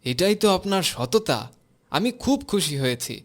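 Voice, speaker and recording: A man's voice: on the high side, expressive, moderate pace. A man playing a kindly, supportive village imam in a cartoon. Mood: approving. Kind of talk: cartoon dialogue.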